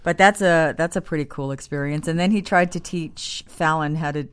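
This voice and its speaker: A woman's voice: sits low, normal speed, clear, resonant tone. A woman co-hosting a morning radio show, teasing her partner in casual chat. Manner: dry teasing, laughs easily.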